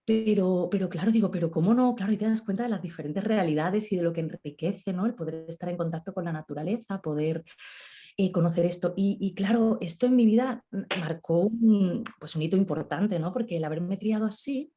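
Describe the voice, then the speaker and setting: A woman from Málaga with a low, soft, mellow voice, talking through her memories at an easy pace. She tells her stories in a relaxed way, checking in with her listener often. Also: a little fast, highs cut off. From Málaga, Spain. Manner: warm storyteller, many "¿no?" tags. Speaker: guest, nutrition specialist. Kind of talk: relaxed storytelling interview.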